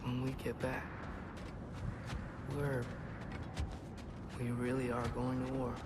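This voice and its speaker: A man's voice: mid-range voice, monotone, slow pace, close to an everyday voice. A young man playing an earnest, hesitant teenage boy, a warrior's troubled son, in a dramatic video game. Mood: remorseful. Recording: very loud music under the voice.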